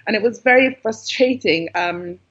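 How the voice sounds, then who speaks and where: A woman's voice: on the high side, moderate pace, breathy edge, dull audio. A British woman, a cookbook author, talking frankly in a casual interview, full of fillers and quoted dialogue. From Britain. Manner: animated, frank storytelling with frequent fillers.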